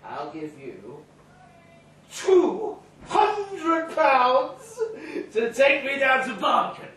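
A man's voice: extremely high pitch, sing-song, very slow, a bit bright, heavily acted. A man playing a drunk, crude, boastful banker with a mean streak, rambling and taunting in a live story reading. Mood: drunken shouting. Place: live reading at a London venue. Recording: live event recording, faint background noise.

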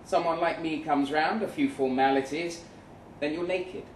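A man reading a first-person short story aloud dramatically, with measured delivery and deliberate pauses. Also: a little high. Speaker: short story reader at a live event. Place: event room in a pub. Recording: roomy, distant mic with faint noise.

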